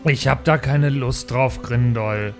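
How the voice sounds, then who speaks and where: A man's voice: mid-range voice, takes it slowly. A man chatting casually in character as a player in an improvised tabletop game. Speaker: tabletop role-play player. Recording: background music under the voice.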